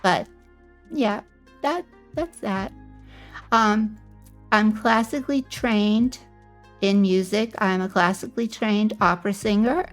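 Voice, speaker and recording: A woman streamer giving a casual self-introduction, talking in a meandering way with many pauses. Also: mid-range voice. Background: faint background music.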